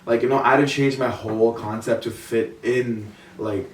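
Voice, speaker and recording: A man's voice: medium pitch, fairly even intonation, normal speed. A young man from India in a casual group discussion, rambling with many fillers. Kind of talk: casual chat. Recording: roomy, from a distance, faint noise.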